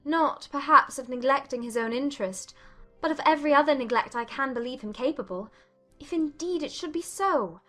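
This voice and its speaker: A woman acts an anxious young lady of a period novel, her voice high and earnest. She questions emphatically and sounds distressed and sceptical, with music behind her. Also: lively intonation, normal speed, a bit bright. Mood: doubtful, agitated. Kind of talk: dramatized audiobook acting of a period novel.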